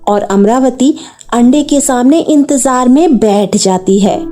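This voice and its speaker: A woman's voice: on the high side, slow, warm tone, soft background music. A woman narrating a scripted children's cartoon story.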